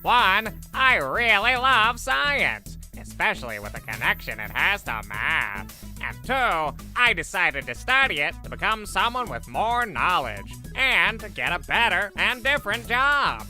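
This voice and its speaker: Woman acting a piercingly bright, eccentric male science teacher. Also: medium pitch, very animated, measured pace, soft background music, full character voice. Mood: eager, pedantic. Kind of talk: cartoon comic dub.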